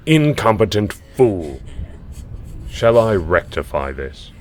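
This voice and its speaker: A man's voice: fairly low pitch, very animated, slow pace, a little hiss or hum. A man playing a harsh, contemptuous alien military commander in a science fiction audio drama, stern and formally commanding. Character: harsh alien soldier commander, merciless, contemptuous drill instructor.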